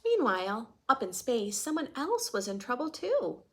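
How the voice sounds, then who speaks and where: Animated woman reading to young children. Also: on the high side, moderate pace. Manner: expressive, questioning asides to young listeners. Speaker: children's story reader and host. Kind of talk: read-aloud storytelling for young children with chatty asides.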